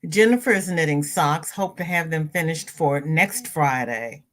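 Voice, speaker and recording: A woman hosting a crochet livestream, chatting casually and reading viewer comments. She sounds stuffy-nosed and greets viewers by name with high, bright lifts in her voice. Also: a little slow. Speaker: crochet channel host.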